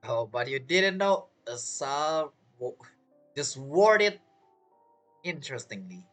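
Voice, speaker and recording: Lively male game streamer. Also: medium pitch, slow. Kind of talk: casual game commentary. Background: game music.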